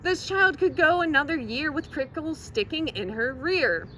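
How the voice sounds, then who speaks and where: A woman voicing a gleeful, brisk, money-minded male dentist in a poem, with emphatic delivery, recorded outdoors. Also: sits high, animated, pushed and bright. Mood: businesslike. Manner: gloating, emphatic exclamations. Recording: outdoor recording with faint traffic noise.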